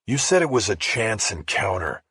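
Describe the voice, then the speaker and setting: Man as a husky, probing male reporter. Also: fairly low pitch, sing-song, normal speed, bright, forceful tone, no echo, full character voice. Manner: probing, questioning. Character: Jack, probing, persistent male investigative journalist. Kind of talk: audiobook character dialogue.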